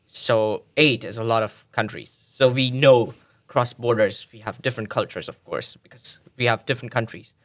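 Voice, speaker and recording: A man's voice: medium pitch, moderate pace, fairly bright tone. A man from Tanzania, a podcast guest, explaining at length in a thoughtful way with frequent restarts. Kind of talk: explanatory conversational interview.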